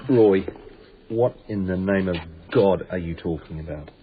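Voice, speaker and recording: A tinny, faintly noisy radio drama recording of a sardonic, impatient working man. His voice is very low, and he speaks curtly with dry sarcasm. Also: unhurried. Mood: exasperated. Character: gruff, sardonic male modern worker, impatient sidekick.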